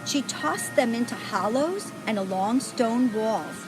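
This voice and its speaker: A woman reading a children's picture book aloud, pausing to point things out to young listeners. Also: on the high side, expressive, over music, poor audio. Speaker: storybook reader.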